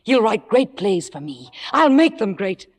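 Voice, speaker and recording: An actress playing an ambitious, scheming young stage star in an old radio drama, speaking theatrically with a poised surface. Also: female voice, mid-range voice, big pitch swings, a little slow, big-room sound. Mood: elated, confiding. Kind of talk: 1950s radio drama acting.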